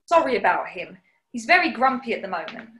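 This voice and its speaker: Plucky English maid, played by a woman, high and very animated, polite, chirpy, 1940s radio comedy. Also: fairly quick, a little hiss or hum. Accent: British. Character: plucky, cheerful, polite young English housemaid.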